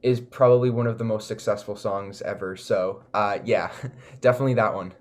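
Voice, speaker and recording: A man with a Canadian accent giving casual, enthusiastic interview answers, often pausing with "like" and "you know". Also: lowish voice, steady tone. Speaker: recording artist, interview guest.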